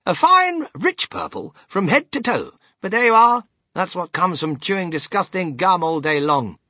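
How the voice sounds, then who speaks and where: An eccentric, impatient storybook factory owner, high and wildly animated. He is brisk, dismissive and theatrical, with a bright, forceful edge that keeps every phrase exclamatory. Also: dry recording, clean studio sound, exaggerated voice. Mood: excited, hurried. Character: excitable, eccentric male chocolate-factory owner, flamboyant and impatient.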